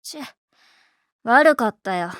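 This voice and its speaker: A woman voice-acting a brash, hot-tempered high school tomboy in an anime, with rough, slangy, boyish speech and a blunt, defensive manner. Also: lively intonation, slow pace, fairly bright tone, no echo, clean studio sound. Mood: irritated, defensive.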